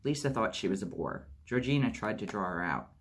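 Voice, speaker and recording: A man reading a memoir aloud as a storytime host, steady narration with lightly acted dialogue. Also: on the low side, a little fast, husky. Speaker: audiobook reader.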